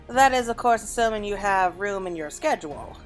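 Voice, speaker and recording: A woman voicing a brisk, courteous quest giver from a fantasy game, formal and wordy with a teasing touch. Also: mid-range voice, lively intonation, lightly acted. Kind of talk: acted reading of fantasy game dialogue. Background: game music.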